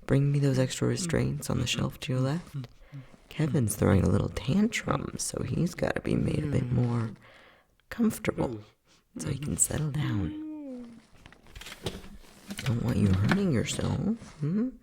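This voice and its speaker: A man acting as an unhinged, playful and casually cruel scientist in an ASMR roleplay, flippant and sardonic, with a husky voice that often drops near a whisper. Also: mid-range voice, big pitch swings, unhurried, pauses often, a little mellow. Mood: mock-soothing. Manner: flippant, sardonic, mock-soothing, muttering.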